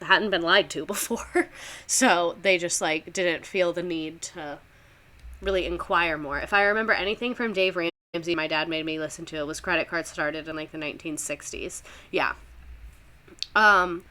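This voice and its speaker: A woman's voice: fairly low pitch, bright, forceful tone. A young woman teacher with a Southern US accent, giving a rambling, chatty history lesson on a livestream, full of fillers and laughs.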